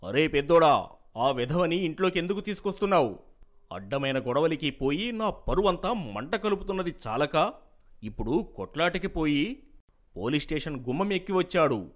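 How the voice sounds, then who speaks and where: A man playing an angry, stern old father who scolds his family in an animated moral story. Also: on the high side, normal speed. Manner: scolding, indignant.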